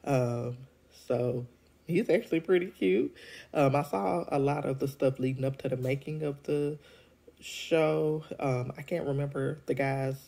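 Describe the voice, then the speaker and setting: Deep-voiced woman with a head cold. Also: a little slow, creaky, mellow. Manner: chatty, laughs often, many ums. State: congested from a cold. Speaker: show reviewer. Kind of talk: casual vlog review. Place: indoors at home. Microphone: phone held at arm's length.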